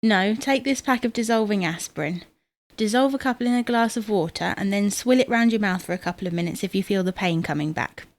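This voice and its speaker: A woman playing a brisk, sarcastic, bossy but caring wife in an audio comedy drama, with a dry, chiding manner. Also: medium pitch, dry recording, close to an everyday voice.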